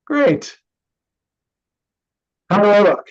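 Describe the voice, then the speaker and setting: Dull recording, actor as slow-talking blunt young man. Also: high-pitched, big pitch swings, full character voice. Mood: nervous, joking. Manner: hesitant, blunt, swearing. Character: wounded, sardonic young man, younger brother and estranged son. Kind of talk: staged reading of a contemporary play.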